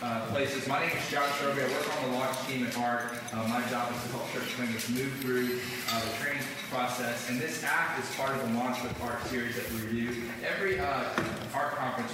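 Folksy host in an echoey hall. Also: male voice, medium pitch, distant mic. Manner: casual, folksy, with many fillers. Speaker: conference host. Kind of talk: event introduction. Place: large conference hall. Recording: echoey hall from afar, room noise.

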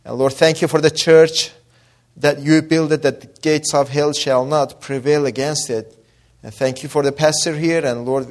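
A man with a mix of Azeri, Persian and Australian accent, preaching as a guest with scripture reading and prayer, pausing with fillers and rising to emphatic delivery. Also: mid-range voice. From Azerbaijani-Iranian background. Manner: builds from soft opening to raised emphatic preaching; hesitant fillers between phrases.

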